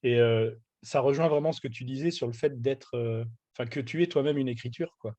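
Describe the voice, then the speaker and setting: A man's voice: medium pitch, brisk, dark tone, dry recording, lo-fi sound. A man with a French accent hosting an interview, asking loosely phrased, friendly questions with fillers. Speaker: podcast host. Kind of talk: casual interview.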